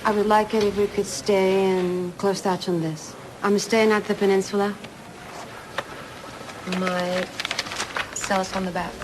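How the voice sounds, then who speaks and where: An actress playing a poised, businesslike insurance investigator around thirty in an audio drama, measured and polite. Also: female voice, medium pitch, flat delivery, noisy background, close to an everyday voice.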